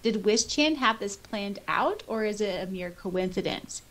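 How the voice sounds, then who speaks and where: A woman co-hosting a podcast, chatting and reading comments aloud in an expressive, exclamatory way. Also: medium pitch, measured pace. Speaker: podcast co-host. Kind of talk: casual chat, reading listener comments aloud.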